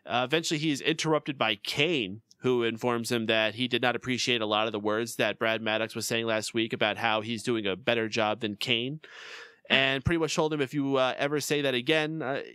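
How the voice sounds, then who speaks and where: A man's voice: mid-range voice, steady tone, a little fast, pushed and bright, clear, resonant tone, dry recording. A man co-hosting a podcast, chatting casually through a recap of a wrestling show and laughing often. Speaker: podcast co-host.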